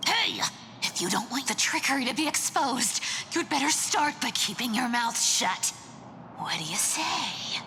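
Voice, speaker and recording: A woman's voice: sits high, very animated, a little slow, bright, forceful tone, a little hiss or hum, exaggerated voice. A woman playing a vain, bossy female rogue in a video game, haughty and playfully teasing. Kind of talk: video game character acting.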